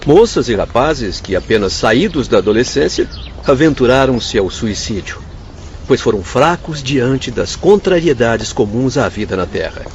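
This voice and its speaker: A man's voice: medium pitch, very animated, a little slow. A man acting an earnest spirit doctor in a radio drama, compassionate but stern, formal and moralizing. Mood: grave, moralizing. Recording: dull lo-fi audio with faint ambient noise.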